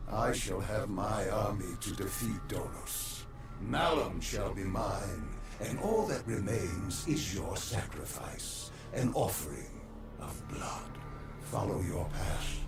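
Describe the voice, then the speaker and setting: An actor playing a malevolent undead overlord in a video game, grandiose and menacing, with an echoing, breathy voice. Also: male voice, fairly low pitch. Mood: ominous, commanding. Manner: grandiose, slow, ominous. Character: malevolent male undead overlord bent on ending mankind. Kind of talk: dramatic game narration. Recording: roomy echo, distant, loud music behind.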